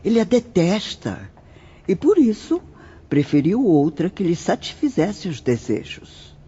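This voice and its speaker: Atriz como freira espiritual maternal, voz velada e expressiva. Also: female voice, fairly low pitch, big pitch swings, a little slow, mellow, husky, exaggerated voice. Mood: earnest, instructive. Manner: maternal, formal, emphatic. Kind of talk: scripted audio novel acting, didactic. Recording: dull lo-fi audio.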